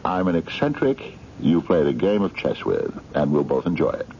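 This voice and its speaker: A man's voice: sits low, sing-song, moderate pace, warm tone. An actor in a radio mystery drama playing an eccentric, courtly man of mystery, formal, hesitant and coaxing. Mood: courtly, sly. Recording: dull, noisy 1970s radio drama recording.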